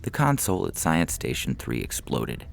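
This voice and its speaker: Male story reader, extremely deep, gravelly, scripted comic sci-fi narration, faint music behind. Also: wildly swooping pitch, moderate pace.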